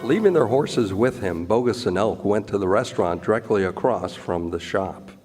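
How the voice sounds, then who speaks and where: A man's voice: on the low side, animated, normal speed. A man narrating an old-time radio Western from a script. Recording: echoey hall with music.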